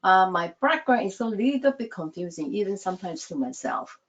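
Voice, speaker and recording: A woman born in Taiwan whose first language is Taiwanese, a hospital staff interpreter speaking in soft, halting non-native English on a video call. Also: medium pitch, expressive. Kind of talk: panel talk on a video call. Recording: dull lo-fi video call audio.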